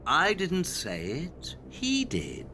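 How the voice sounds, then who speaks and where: A man's voice: a little high, huge pitch swings, slow, gravelly, over music, full character voice. An actor playing a brilliant, self-assured detective in an audio drama, precise and dryly condescending as he lays out his deductions. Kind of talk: audio drama acting, expository dialogue.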